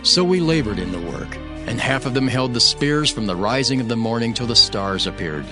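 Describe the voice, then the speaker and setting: A man playing an earnest, stern governor, narrating his own account in a solemn, measured dramatized scripture reading. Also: mid-range voice, expressive, lightly acted. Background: background music.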